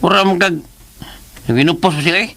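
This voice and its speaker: Guy doing a naggy excitable comic sidekick in a radio drama, sharp bright voice, keeps repeating himself. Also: high voice, moderate pace, heavily acted. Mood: excitable, nagging. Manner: insistent, repetitive.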